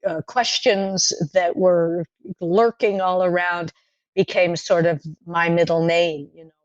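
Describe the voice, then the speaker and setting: A middle-aged woman whose first language is French, recalling her childhood in a podcast interview, talking in a thoughtful, halting way with many fillers and restarts. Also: on the low side, fairly even intonation, no room sound, highs cut off. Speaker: playwright guest.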